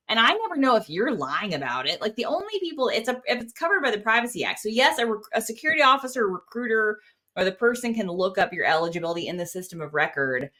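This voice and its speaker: A woman with an American accent explaining things informally as an interview guest, in an animated, colloquial way. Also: on the low side. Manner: animated, colloquial, quotes imagined speakers. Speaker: interview guest, security clearance expert.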